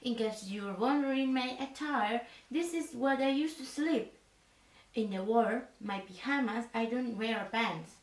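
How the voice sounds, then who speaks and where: A woman playing an earnest resistance fighter in a soft-spoken military ASMR roleplay, with halting, formal delivery. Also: a little high, natural voice. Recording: echoey room, distant from mic.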